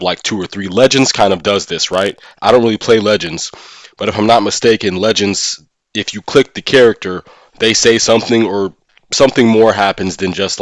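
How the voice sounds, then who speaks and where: A man's voice: on the low side, no room sound. A man hosting a gaming livestream, talking casually and informally with slang and frequent check-ins to his listeners. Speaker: streamer and host of a gaming channel.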